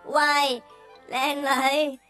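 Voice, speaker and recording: Casting: a cheeky little cartoon bull calf, high-pitched, unhurried, melodramatic show-off. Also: female voice, little pitch movement, sharp tone, soft background music, heavily acted. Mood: cheeky, eager. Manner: whiny, exaggerated. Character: cheeky young male cartoon cow, whiny show-off. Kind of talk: Cantonese children's radio drama acting.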